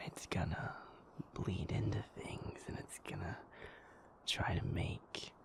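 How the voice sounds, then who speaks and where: A man playing a gentle, patient werewolf in an intimate audio roleplay, soothing and reassuring in a halting, breathy, creaky whisper. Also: sits low, exaggerated voice. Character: gentle, patient male werewolf comforting a sleepless partner.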